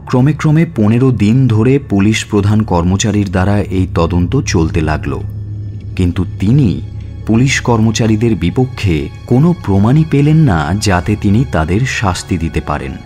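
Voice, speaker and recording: Low-voiced male story narrator over music. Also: darkish tone. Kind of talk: scripted dramatic story narration.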